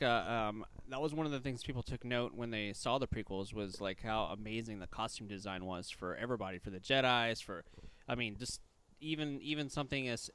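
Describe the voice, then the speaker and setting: A man from Louisiana hosting a casual interview, excitable, with sudden loud jumps in pitch. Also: clean tone. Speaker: podcast host.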